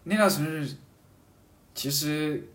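A man chatting casually and reflectively as a guest, thinking aloud in a halting way and often repeating his phrases. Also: a little high, a bit bright, recorded from a distance. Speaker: podcast guest talking about a hobby of seal carving. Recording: roomy echo, off-mic, faint background noise.

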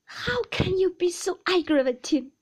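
A woman voicing an emotional young mother, tearful and defensive, pleading in a high, shrill voice. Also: big pitch swings, a little slow, exaggerated voice. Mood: distressed.

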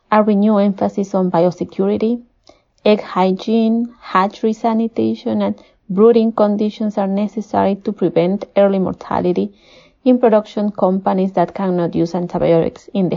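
Female guest expert, Peruvian Spanish accent, gravelly edge, measured formal explanations, slightly muffled recording. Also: mid-range voice, mellow. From Peru. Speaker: guest, poultry nutritionist. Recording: dull, muffled lo-fi audio.

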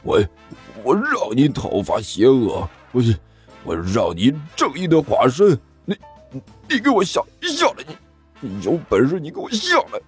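A blustering demon king from a comic audio story, acted out by a male narrator. He stammers heavily and drags his words out slowly in a rough, husky voice. His indignant challenges rise and fall in lively swings over background music. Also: mid-range voice, voice pushed up, full character voice. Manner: heavy stammer, drawn-out shouted words.

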